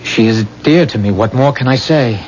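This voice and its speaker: An actor playing an earnest, nervous, lovestruck bridegroom with theatrical fervor in a 1970s radio drama. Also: male voice, medium pitch, moderate pace, heavy background noise, lightly acted. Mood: yearning. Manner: fervent, uneasy, theatrical. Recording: muffled old radio with music.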